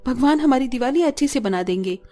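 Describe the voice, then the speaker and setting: A woman's voice: sits high, animated, fairly quick, warm tone. A woman playing a poor, patient mother and wife in a cartoon story, soothing and resigned yet encouraging. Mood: reassuring, hopeful.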